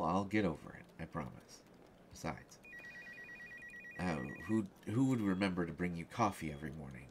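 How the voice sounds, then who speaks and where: A man playing a flustered, lovestruck young journalist in an audio roleplay, speaking in halting, hesitant stammers. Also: low voice, slight background noise. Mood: tender, resigned. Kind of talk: one-sided audio roleplay acting.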